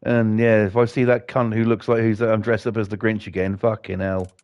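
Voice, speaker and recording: Middle-aged British streamer, gravelly and slightly croaky, casual, sweary, rambling rant to chat. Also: male voice, on the low side, little pitch movement, brisk, darkish tone, highs cut off. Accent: British English. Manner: sweary, ranting, chatty. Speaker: gaming livestreamer. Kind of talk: casual chat while playing a game.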